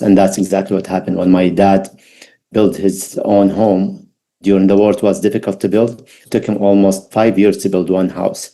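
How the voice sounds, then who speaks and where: Mellow middle-aged man with an Iraqi accent. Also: lowish voice. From Baghdad, Iraq. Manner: halting, reflective, non-native English phrasing. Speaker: podcast guest. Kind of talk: personal storytelling interview.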